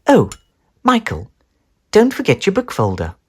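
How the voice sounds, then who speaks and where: Man as a high, sing-song, probing mum. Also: wildly swooping pitch, measured pace, husky, exaggerated voice. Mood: cheerful. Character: busy, suspicious mother questioning her son.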